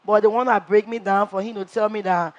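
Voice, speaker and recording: A woman playing a brash reality-show contestant who tells her story in an animated way and acts out what others said. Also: medium pitch, a little fast, lo-fi sound, lightly acted.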